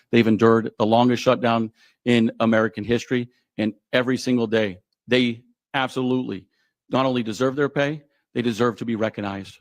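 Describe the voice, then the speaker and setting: A man's voice: mid-range voice, no echo. Emphatic man speaking at a press conference. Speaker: union president at a press conference. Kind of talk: press conference answers, advocacy.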